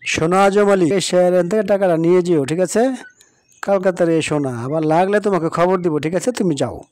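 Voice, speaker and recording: A man voicing a calm, firm but sympathetic factory supervisor in a cartoon moral story, speaking in a measured, official way. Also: high-pitched, close to an everyday voice. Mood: businesslike.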